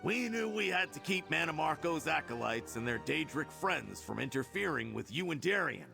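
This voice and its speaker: An actor playing a hearty, plain-spoken battle-worn comrade in a fantasy game, with a gravelly, raspy voice. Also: male voice, a little high, big pitch swings, normal speed, bright, forceful tone, faint music behind, full character voice. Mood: hearty, relieved. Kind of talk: fantasy video game dialogue.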